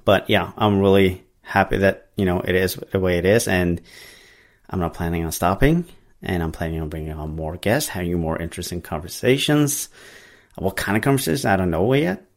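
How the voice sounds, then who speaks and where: A man's voice: deep voice, lively intonation, moderate pace. A man hosting a podcast in English, which is not his first language, talking casually in a rambling way with many fillers and restarts. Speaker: podcast host. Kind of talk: casual solo monologue.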